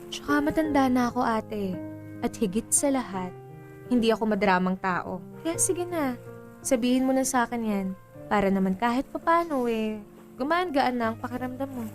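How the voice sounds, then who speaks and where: High-voiced, frank young Filipino woman acted out by a woman. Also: close to an everyday voice. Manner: candid, teasing, reassuring. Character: frank, cheerful 21-year-old daughter seeking the truth. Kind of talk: conversational radio drama acting. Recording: music bed under the dialogue.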